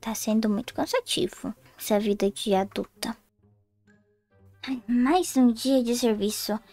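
A woman's voice: fairly high pitch, animated, a little slow, dark tone, no echo, soft background music. A woman voicing a naive girl who has suddenly become a young adult woman, chatty and thinking aloud with whiny sighs in a roleplay game story. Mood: weary. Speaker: roleplay player voicing Diana.

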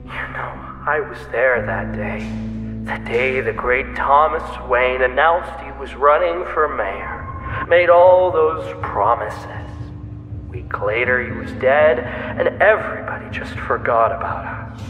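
An actor playing an unhinged, bitter, obsessive serial killer in an audio drama, halting and menacing, moving between breathy hush and outbursts. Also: male voice, mid-range voice, lo-fi sound, close to an everyday voice. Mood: bitter, resentful. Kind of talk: theatrical audio drama monologue. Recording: echoey reverberant hall, faint music behind.

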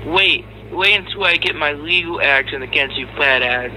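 A man's voice: fairly high pitch, moderate pace, background noise. A man giving an angry, profane rant in an aggrieved, sarcastic manner. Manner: aggrieved, sarcastic, foul-mouthed. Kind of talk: angry, profane rant to camera and recorded audio.

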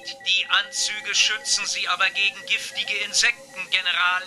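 Male actor as robot ship computer, children's sci-fi radio play. High pitch, calm, exact, formal, music underneath. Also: natural voice. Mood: matter-of-fact. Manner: measured, factual, formal. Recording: music behind the voice.

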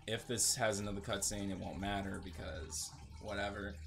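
A man's voice: on the low side, level tone, normal speed, vocal fry, fairly bright tone, a little hiss or hum. A man doing casual, rambling gameplay commentary as host of a gaming video, with a sarcastic, joking manner.

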